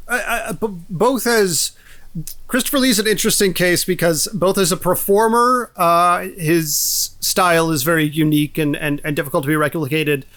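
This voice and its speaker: A man talking casually about films as a podcast panelist, phrasing his thoughts in a halting, measured way. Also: on the high side, a bit bright. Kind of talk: casual film discussion.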